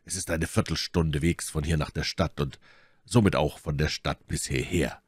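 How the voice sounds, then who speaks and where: Guy playing a cool wry musketeer leader, really low voice, measured, audiobook, dry sound. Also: steady tone, husky, no echo. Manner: measured, unhurried, authoritative. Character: calm, commanding, wry older male musketeer. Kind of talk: audiobook character dialogue.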